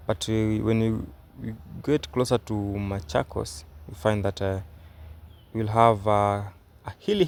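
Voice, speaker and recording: A man's voice: on the low side, a little hiss or hum. A young Kenyan man whose first language is Swahili, giving hesitant, explanatory travel commentary from inside a moving car, recorded on a phone, with many fillers and restarts. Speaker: travel vlogger.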